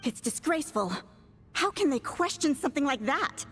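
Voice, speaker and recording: An indignant shaman disciple in a video game. Her voice is very high and swings widely in pitch. Long reverb surrounds it. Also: sing-song, moderate pace, a bit bright. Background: background music.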